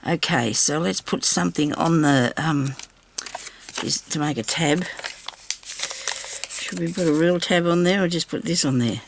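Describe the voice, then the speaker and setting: A woman with a slightly raspy voice, recovering from a sore throat, chatting casually to viewers and to herself as she crafts. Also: deep voice, a little slow, slight background noise. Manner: chatty, talks to herself while working. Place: craft table indoors.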